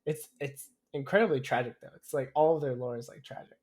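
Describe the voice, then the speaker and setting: A man's voice: medium pitch, fairly quick, darkish tone. A man co-hosting a podcast in casual chat, rambling hesitantly and often repeating his phrases. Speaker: podcast co-host.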